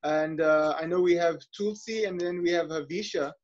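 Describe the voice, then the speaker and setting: A man's voice: a little high, normal speed. A young man hosting an online open mic over a video call, talking casually with many restarts and fillers. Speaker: host. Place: indoor room. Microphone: laptop webcam on video call. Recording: dull lo-fi video call audio.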